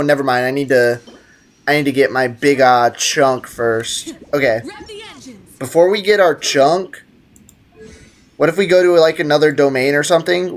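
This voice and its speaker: A man's voice: on the high side, slight background noise. A man hosting a casual gaming livestream, talking to his chat in playful slang and prone to exaggerated outbursts. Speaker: live streamer hosting a gaming stream. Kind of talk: casual gaming livestream chat, reading viewer comments aloud.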